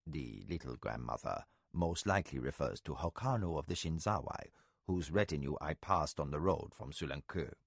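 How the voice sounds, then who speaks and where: Man doing a low quiet spymaster, shrewd, soft, measured. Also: lively intonation, dry recording, clean studio sound.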